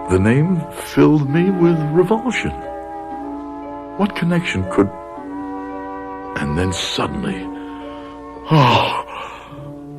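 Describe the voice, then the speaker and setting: Radio drama role: brooding middle-aged professor, precise, lowish, highly animated pitch. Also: male voice, heavily acted. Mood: pained. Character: formal, brooding college psychology professor in pain. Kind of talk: old-time radio drama acting.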